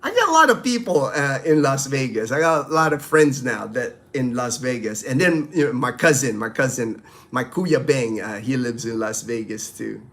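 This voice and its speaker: A man's voice: medium pitch, lively intonation, normal speed, a bit bright. A middle-aged man from the Philippines, a native Tagalog speaker, hosting a live stream and chatting casually through viewer questions with many fillers and restarts.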